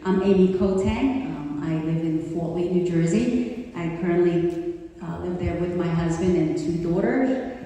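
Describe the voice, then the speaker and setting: A woman in her forties who grew up in Hong Kong and speaks Cantonese natively, giving a chatty personal talk at a community event, with many fillers. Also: lowish voice. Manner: warm, conversational, with frequent fillers. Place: community center hall. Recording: echoey hall, distant mic, faint noise.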